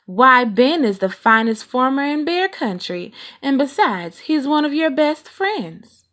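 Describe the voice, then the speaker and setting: A woman's voice: sits high, very animated, measured pace, fairly bright tone, pitched above the performer's own voice, full character voice. Woman as a sensible, chiding mama bear. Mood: reproving. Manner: firm, emphatic, reproving.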